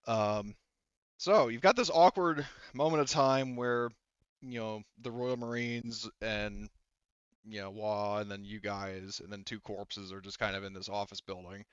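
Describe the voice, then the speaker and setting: Game master, male, smooth and lowish, halting improvised narration, close dry slightly muffled recording. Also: steady tone, no room sound. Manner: halting, conversational.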